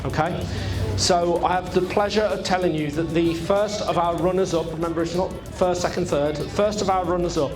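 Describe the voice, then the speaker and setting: Chesty compère rallying a crowd. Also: male voice, high voice, steady tone, brisk, few pauses, over music. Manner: chirpy, coaxing, rallying the crowd. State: chesty cough. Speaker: car show presenter and video host. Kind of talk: outdoor event compering to a crowd. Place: outdoor car show.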